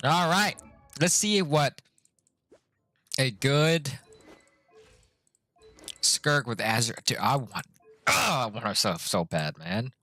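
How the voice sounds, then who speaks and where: A man's voice: medium pitch, very animated, slow, long pauses, pushed and bright, no echo, soft background music. A young man chatting casually on a game stream in a slangy, playful way, muttering asides to himself. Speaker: live streamer.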